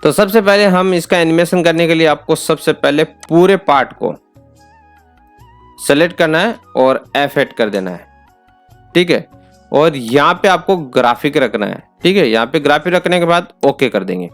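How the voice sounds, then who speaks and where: A man with an Indian accent hosting an informal step-by-step software tutorial, instructing and repeating key points. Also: fairly high pitch, expressive, normal speed, faint music behind. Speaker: tutorial host.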